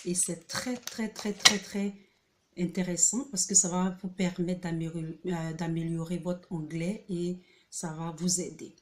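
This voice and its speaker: Woman doing a chill how-to video, slow and low-ish, lots of uhs and restarts. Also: fairly even intonation, fairly bright tone, slight background noise. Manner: hesitant, many filler pauses and restarts; repeats key points, checks in with "ok?". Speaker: video host presenting a pronunciation book. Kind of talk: casual spoken tutorial to camera.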